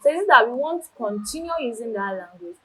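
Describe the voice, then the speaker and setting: A woman's voice: on the high side, animated, fast talker, sharp tone, breathy edge. Woman host pressing with questions. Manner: probing, pressing with follow-up questions. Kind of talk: questioning students on a TV show.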